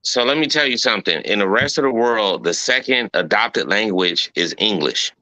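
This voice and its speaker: Dude w a really bright dry voice, folksy, keeps pushing his point. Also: fairly low pitch, lively intonation, normal speed, dry recording, poor audio. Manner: folksy, persistent, keeps saying right and okay. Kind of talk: heated casual debate.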